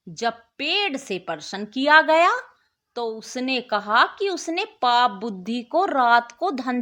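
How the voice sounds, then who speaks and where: High, bright, slow-paced woman storyteller. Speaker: storyteller and channel host. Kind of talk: scripted moral bedtime story narration.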